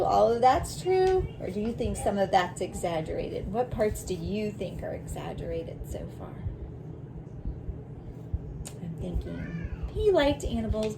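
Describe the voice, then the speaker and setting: A woman reading a children's picture book aloud like a teacher, in a deliberate way, pausing to reflect and ask questions. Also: medium pitch, gravelly, noisy background.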